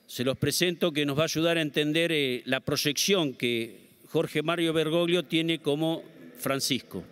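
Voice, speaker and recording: A man's voice: on the high side, unhurried, a bit bright, slight background noise. Distant man announcing a speaker. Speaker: moderator. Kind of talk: introducing a speaker.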